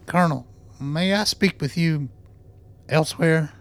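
An actor playing a measured, conciliatory doctor in a radio play, speaking with calm, careful reasoning. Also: male voice, fairly high pitch. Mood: measured, placating. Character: measured, conciliatory male doctor, calming tense situations.

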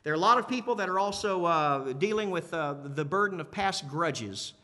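A man's voice: a little high, lively intonation, moderate pace, pushed and bright. A man preaching a sermon in an emphatic but conversational way, repeating key phrases and putting questions to his listeners. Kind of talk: sermon to a congregation. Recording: long hall reverb.

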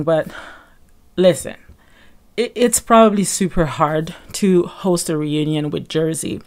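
A young woman hosting a casual gossip podcast, her voice a little raspy from a sore throat, talking in a chatty, animated way with lots of fillers. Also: fairly low pitch. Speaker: podcast host.

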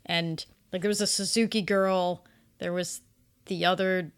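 Low-voiced woman, smooth and conversational. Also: fairly even intonation, measured pace. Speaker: podcast co-host. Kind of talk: casual review discussion.

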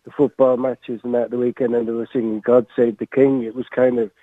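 A man's voice: mid-range voice, little pitch movement, a little fast, no room sound. An English man in his sixties calling in to a radio show, hesitant and often repeating words. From England. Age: 60s. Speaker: caller. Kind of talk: call-in radio talk. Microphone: phone. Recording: phone line.

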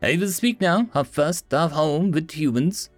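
A man's voice: on the high side, lively intonation, a little slow, no echo, faint music behind, voice pushed up. A man voicing a regal, proud female house cat spirit with an Eastern European accent and broken speech.

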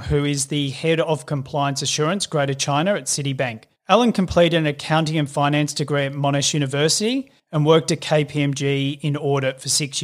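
A man hosting a podcast, reading a brisk, upbeat introduction of his guest. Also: a little high. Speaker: podcast host. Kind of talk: scripted guest introduction and interview.

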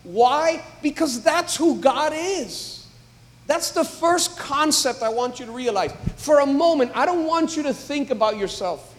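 A man, a pastor, preaching a sermon in a church, building from calm talk to emphatic, loud exhortation. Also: very high voice, measured pace. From United States. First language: English. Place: church sanctuary. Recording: long reverb of a large hall.

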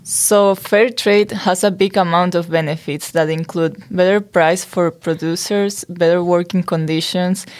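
A woman's voice: fairly low pitch, darkish tone. A young woman from Spain, co-hosting a student podcast and reading from notes with small stumbles. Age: young adult. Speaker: podcast co-host.

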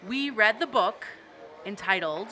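A woman's voice: medium pitch, expressive, unhurried, pushed and bright. A woman co-hosting a podcast in casual chat in a coffee shop, often giggling through her sentences. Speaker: podcast co-host.

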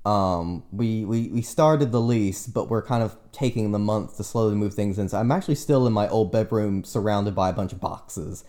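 A man's voice: on the low side, normal speed, hardly any pauses, dark tone. Rambling self-deprecating young man. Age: 20s. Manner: rambling, self-deprecating, frequent restarts and fillers. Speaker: podcast guest and teacher. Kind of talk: casual podcast chat.

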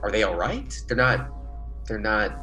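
Earnest, deep-voiced radio-drama biologist, swooping delivery. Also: male voice, sing-song, unhurried, bright, forceful tone, soft background music, exaggerated voice. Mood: shocked. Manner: flustered, emphatic, exclaiming. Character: earnest, defensive male biologist on a colony planet. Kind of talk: classic American radio play acting.

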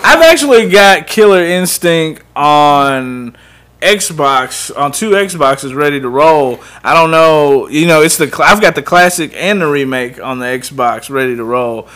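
Man, podcast host, casual chat, blunt and relaxed, slightly high voice. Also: a little slow, clear, resonant tone. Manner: laid-back, blunt, swears casually. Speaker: podcast host, runs gaming tournaments.

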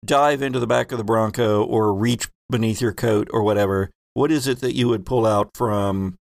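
A man running a tabletop role-playing game as game master, asking the players questions in a relaxed, joking way. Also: lowish voice, normal speed, vocal fry, no room sound, clean studio sound.

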